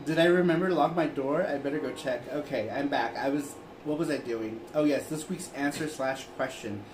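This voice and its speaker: A man's voice: fairly high pitch, a little fast, roomy sound, background noise. A man co-hosting a show, chatting casually, laughing often and reading long viewer letters aloud. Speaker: co-host reading viewer answers.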